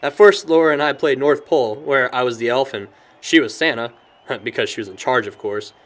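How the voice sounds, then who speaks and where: A man's voice: mid-range voice, brisk, natural voice. A young man with an American accent playing a sentimental, good-natured guy in an audio drama. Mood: fond, nostalgic. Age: young adult. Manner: chuckles often, animated storyteller. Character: cheerful young man, sentimental but worried about his sister.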